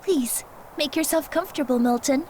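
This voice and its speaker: Lady playing poised sweet-talking seductress, high voice, coy and polite, slight background noise. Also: normal speed, darkish tone, close to an everyday voice. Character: poised, secretly scheming seductress on a date. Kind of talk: audio drama acting.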